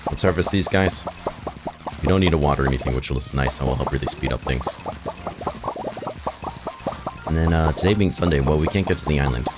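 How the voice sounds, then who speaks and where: A hoarse man with a raspy, rough voice, rambling and thinking aloud as he plays through a farming game in casual commentary. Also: very deep voice. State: hoarse from shouting the night before. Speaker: gaming video host. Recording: game music behind the voice. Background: game music.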